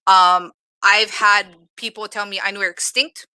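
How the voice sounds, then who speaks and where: A woman's voice: mid-range voice, steady tone, moderate pace, sharp tone, clean tone, no room sound. A woman speaking earnestly and personally as a moderator in a reflective panel discussion.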